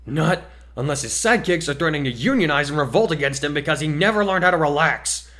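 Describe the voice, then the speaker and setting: A man's voice: fairly high pitch, expressive, fast, a bit bright, voice pushed up. A man voicing a laid-back, sarcastic teenage boy hero student in a dramatic story reading, with a wry, grumbling manner. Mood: exasperated. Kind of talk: dramatic reading of fan fiction.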